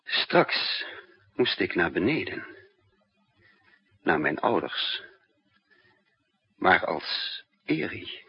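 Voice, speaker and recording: Deep husky haunted spy narrator. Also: male voice, heavily acted. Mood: grave, tender. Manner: dramatic first-person storytelling. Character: weary male spy narrating his desperate escape story. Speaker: narrator and lead character. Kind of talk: 1960s radio drama acting. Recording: dull muffled 1960s radio recording.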